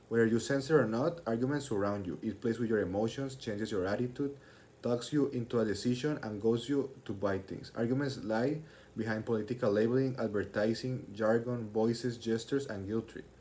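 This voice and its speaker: A young man speaks as a student presenting a prepared speech to a class. His voice sits at an average male pitch with a mellow, relaxed tone. The delivery is formal and explanatory, read from a written text.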